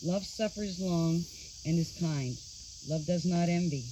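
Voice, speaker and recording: A woman, an aunt and godmother, giving a measured, solemn scripture reading at a wedding. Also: fairly low pitch, noisy background. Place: outdoor wedding. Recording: loud outdoor crickets behind.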